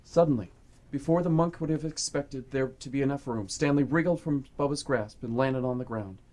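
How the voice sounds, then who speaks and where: A man's voice: medium pitch, fairly quick, a little mellow. A man reading scripted, dramatic story narration for a radio play.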